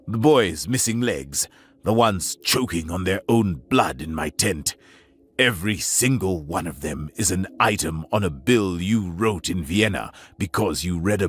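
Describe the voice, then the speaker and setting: A weary army field surgeon, voiced by a male narrator in an audiobook, speaking in a low, flat, cold voice that sharpens into a vicious hiss when provoked. The voice is fairly deep.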